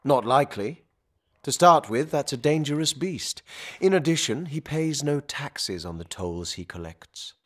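An actor playing an officious, haughty royal castellan in a video game, with a pompous bureaucratic manner. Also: male voice, medium pitch, expressive, a little slow, a bit bright. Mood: officious, dismissive. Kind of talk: video game character acting.

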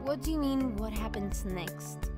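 A woman's voice: fairly high pitch, expressive, a little slow. A woman playing a brash, hot-tempered young superheroine in a comic dub, over prominent music. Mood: defiant. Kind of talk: acted comic dub dialogue.

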